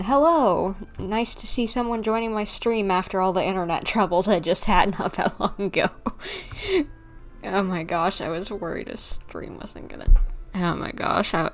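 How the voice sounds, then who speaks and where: A young adult woman giving casual, playful, rambling commentary as she livestreams a video game. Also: fairly low pitch. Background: faint game music.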